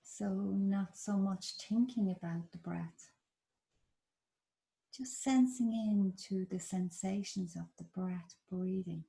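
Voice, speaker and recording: A woman with an Irish accent leading a guided body scan meditation in a slow, soft, soothing manner. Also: medium pitch, creaky, poor audio. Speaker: meditation teacher.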